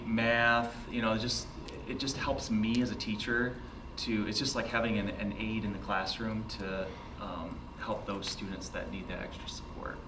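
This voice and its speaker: Male teacher, lowish voice, casual remarks, picked up at a distance. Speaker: biosciences instructor. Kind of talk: casual introduction to a group. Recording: echoey noisy room, distant mic.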